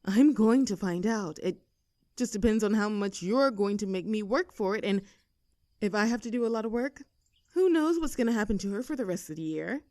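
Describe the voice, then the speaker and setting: A woman's voice: mid-range voice, animated, a little fast, darkish tone, no room sound, clean studio sound, close to an everyday voice. A young woman playing a rebellious teenage girl bully in an audio roleplay, with a sarcastic, teasing, brash manner. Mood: curious, prodding. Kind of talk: scripted roleplay audio drama.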